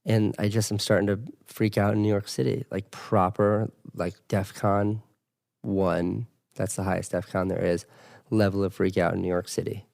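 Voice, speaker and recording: A man's voice: fairly low pitch, flat delivery, normal speed, vocal fry, a little mellow, dry recording. A man in his thirties co-hosting a casual podcast with his wife, dry and teasing. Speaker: podcast co-host. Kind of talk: casual couple chat. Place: home in New York City.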